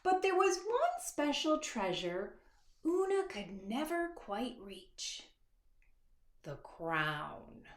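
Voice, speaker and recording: A woman author reading a children's picture book aloud expressively, with dramatic hushed moments and asides to young listeners. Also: on the high side, huge pitch swings, slight background noise. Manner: dramatic, dropping to hushed asides and stretched words; pauses to address young listeners with questions. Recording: echoey, reverberant room.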